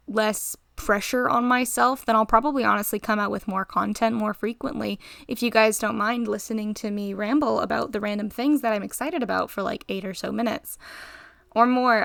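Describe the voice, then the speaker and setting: A tired young woman with a slightly raspy voice, rambling casually in a voice-over while she draws, interrupting herself and giggling. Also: mid-range voice, fairly bright tone, no room sound, clean studio sound. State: tired, short on sleep. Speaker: art channel host.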